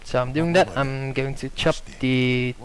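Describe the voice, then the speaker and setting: A man's voice: medium pitch, fairly even intonation, measured pace, low-quality recording. A young Dutch-native man giving hesitant gameplay commentary, full of ums and self-corrections.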